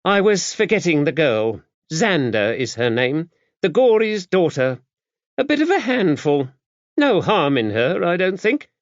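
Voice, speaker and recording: Man voicing a high-pitched, gruff older nun. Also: big pitch swings, unhurried, dry recording, dull audio. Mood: disapproving. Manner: stern, brusque, matter-of-fact. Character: gruff, stern, worldly-wise older nun, large-framed. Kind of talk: audiobook character dialogue.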